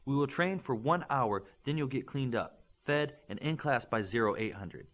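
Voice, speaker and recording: A male narrator plays a stern mentor of young superheroes in an audiobook. He lectures firmly and with principle, leaning on rhetorical questions, his mid-pitched voice rising and falling emphatically. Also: expressive, normal speed, fairly bright tone, natural voice. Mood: earnest, firm. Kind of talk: audiobook character dialogue.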